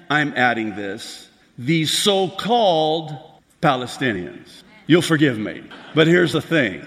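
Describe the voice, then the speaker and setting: A pastor preaching in a large hall, his bright voice ringing with long reverb. He speaks slowly and emphatically, his pitch swinging widely as he builds to raised outbursts. The man sounds slightly higher than most men. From Lebanon. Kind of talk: preaching a prophecy sermon.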